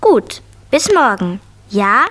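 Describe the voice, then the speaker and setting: A woman's voice: mid-range voice, big pitch swings, slow, very noisy. An actress playing a curious, eager four-year-old girl in a 1970s children's radio play, frank and childlike. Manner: bright-eyed, childlike, frank. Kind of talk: 1970s children's audio drama acting.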